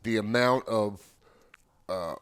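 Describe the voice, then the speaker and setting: A man's voice: on the low side, takes it slowly, a bit bright, smooth. A man in his seventies talking casually as a podcast guest, often repeating words and phrases as he works toward his point. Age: 70s. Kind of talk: casual conversation.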